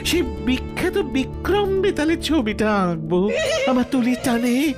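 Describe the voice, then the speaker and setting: A woman's voice: sits high, lively intonation, unhurried. A woman voicing a fussy, self-important cartoon painter who talks grandly and with condescension. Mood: grand, excited. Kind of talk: exaggerated cartoon acting. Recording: cartoon music behind the voice.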